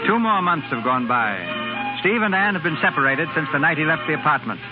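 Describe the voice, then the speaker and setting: A man's voice: on the high side, animated, brisk. A man giving scripted announcements and narration as host of a 1930s radio theater. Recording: muffled 1930s radio with loud music.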